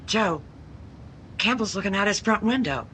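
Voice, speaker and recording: A woman's voice: medium pitch, lively intonation, moderate pace, fairly bright tone, slight background noise, lightly acted, low-quality recording. An actress playing a plainclothes policewoman with a dry, wisecracking delivery in a 1940s radio drama style. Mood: wry. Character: female plainclothes cop, dry and wry.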